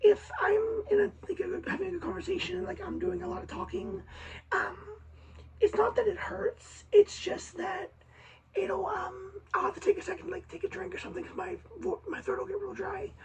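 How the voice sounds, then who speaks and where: A young woman with a raspy, hoarse voice left by vocal surgery, talking haltingly in a casual vlog update with many pauses and restarts. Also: very high voice, steady tone, darkish tone. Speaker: vlogger. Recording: slightly distant mic with faint hiss.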